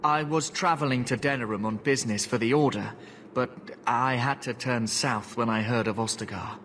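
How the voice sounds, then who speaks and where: An actor playing a stern, dutiful knight who distrusts mages in a fantasy video game, stiff and formal. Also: male voice, mid-range voice, normal speed, a bit bright, slight background noise, natural voice. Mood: grave. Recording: clear battle ambience behind voice.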